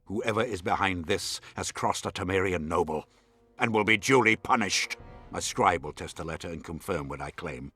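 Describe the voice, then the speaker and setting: A proud, scheming lord from a fantasy game, with a fairly low voice. He talks formally and imperiously, with stern measured authority. Faint music sits behind him. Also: pushed and bright, breathy edge, exaggerated voice. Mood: stern, indignant. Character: haughty, scheming male nobleman, stern and formal. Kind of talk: video game voice acting.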